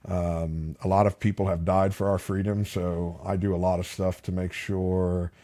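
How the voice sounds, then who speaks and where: A middle-aged man, a native Spanish speaker, tells stories in a slightly low, easygoing voice. He rambles a little, with plenty of uhs and restarts, like a casual podcast guest. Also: normal speed. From Palm Beach County, Florida. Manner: relaxed, chatty, lots of fillers and restarts. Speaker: podcast guest, fishing captain. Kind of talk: casual interview storytelling.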